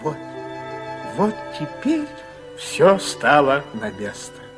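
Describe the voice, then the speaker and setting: A man's voice: fairly high pitch, wildly swooping pitch, takes it slowly, heavily acted. An actor playing a playful, absorbed painter in a radio play, musing aloud to himself with delight in his work. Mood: satisfied. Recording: music playing clearly behind the voice.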